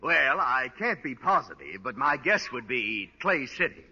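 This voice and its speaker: A man's voice: mid-range voice, sing-song, measured pace, heavy background noise. An actor playing a polite, oblivious and chatty male passerby in a 1940s radio sitcom. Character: chatty, mild, unhelpful male pedestrian hitching a ride. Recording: dull vintage radio broadcast.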